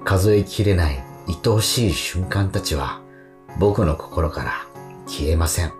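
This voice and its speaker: A low-voiced, tender old husband in a radio play, grieving over his life. He speaks slowly and haltingly, full of sentiment, with music under the voice. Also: animated. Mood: grieving, wistful. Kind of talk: radio drama acting and narration.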